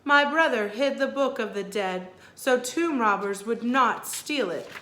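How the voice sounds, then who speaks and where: A woman's voice: a little high, unhurried, bright, forceful tone, reverberant, a little hiss or hum, pitched above the performer's own voice, low-quality recording. Woman voicing a stately, sad ancient ghost queen. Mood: grave, ominous. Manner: stately, formal, dramatic.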